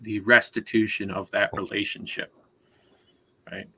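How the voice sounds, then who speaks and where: Bright, lowish-voiced man in casual discussion. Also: little pitch movement, a little slow, breathy edge. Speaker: co-host.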